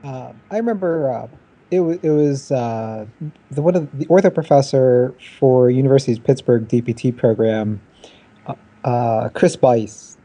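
A man joining a casual discussion as a guest, speaking haltingly with many fillers. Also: medium pitch, mellow.

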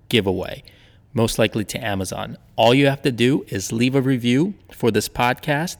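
A podcast host with a low, gravelly man's voice reads promos and announcements in a chatty, upbeat way. His voice carries a long reverb tail, and soft music plays underneath.

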